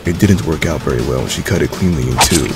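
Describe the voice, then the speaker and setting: Very deep, gravelly male narrator. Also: fast. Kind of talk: scripted story narration. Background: music.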